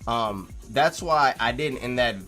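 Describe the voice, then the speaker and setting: A man talking as a vlogger in a casual, opinionated reply to viewers, with a halting, rambling and emphatic delivery. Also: medium pitch, bright, forceful tone, soft background music. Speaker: YouTube vlogger.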